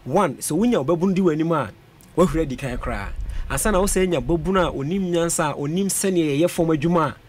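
A man's voice: fairly high pitch, quick pace. A young Ghanaian man speaking as a parliamentary aspirant in a radio studio interview, mixing Twi with English and reeling off vote figures at a studio mic. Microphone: studio mic on a boom.

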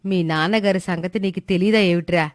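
A woman voicing a stern but soft-hearted older village mother in a moral story, scolding in colloquial rustic speech. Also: on the low side, fairly even intonation, brisk, voice pushed down, close to an everyday voice. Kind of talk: animated moral story dialogue.

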